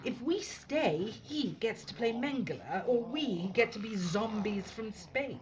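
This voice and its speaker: A woman's voice: a little high, expressive, unhurried, darkish tone. A woman playing a wry, unflappable spaceship captain in a sci-fi audio drama, speaking dryly and with resolve. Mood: resolute, grim. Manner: dry, sardonic, resolute. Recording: dull recording with faint noise.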